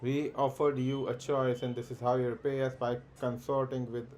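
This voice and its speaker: Man voicing a stern little moogle from a game, read plainly at his usual pitch, no put-on voice. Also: level tone, normal speed, darkish tone, slight background noise, natural voice. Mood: accusing. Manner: reads the game's dialogue aloud in his own voice.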